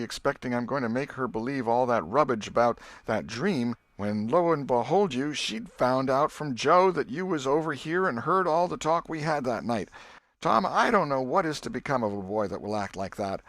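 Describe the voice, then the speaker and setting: A man's voice: medium pitch, fairly bright tone, no echo, pitched above the performer's own voice, close to an everyday voice. A man voicing a scolding, sorrowful old aunt with folksy rural speech in a storybook reading.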